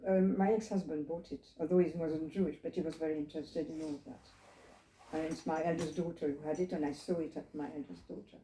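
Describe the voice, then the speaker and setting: A woman's voice: sits low, steady tone, quick pace, mellow, slight background noise. An elderly woman from France, speaking English, telling her life story to a small group in a reflective, digressive way and asking them questions. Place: living room. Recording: roomy living room.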